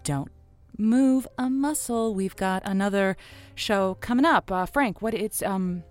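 A woman's voice: medium pitch, unhurried, close to an everyday voice. A woman playing a wry late-night lonely hearts radio host in an audio drama. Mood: smooth, affectionate. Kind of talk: semi-improvised audio drama, radio call-in show patter.

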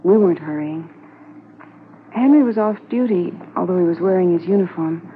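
A woman's voice: lowish voice, moderate pace, natural voice. A woman playing a wistful, anxious but affectionate policeman's wife in a reflective, conversational 1950s radio drama style. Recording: muffled noisy 1950s radio transcription.

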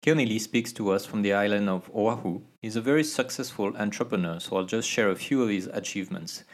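A man's voice: on the low side, a little fast. A man hosting a podcast, opening the episode and asking questions in a formal, welcoming manner. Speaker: podcast host. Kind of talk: podcast interview.

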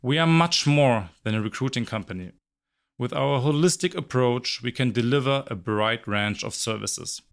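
Director, man, 40s; confident, brisk sales-style delivery. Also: medium pitch, creaky. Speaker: recruitment company director. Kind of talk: scripted self-introduction.

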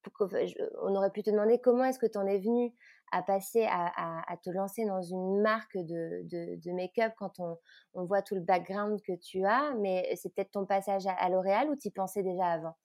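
A woman with a dark, mellow voice hosts a casual interview. She feels her way into questions, hesitating and repeating words as she goes. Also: medium pitch, fairly even intonation, moderate pace. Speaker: interviewer, podcast host.

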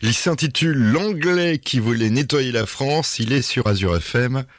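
A man's voice: mid-range voice, moderate pace, no room sound, studio quality. A man hosting a local radio show, upbeat and teasing as he introduces his guest and plugs the station. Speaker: radio host. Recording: radio broadcast.

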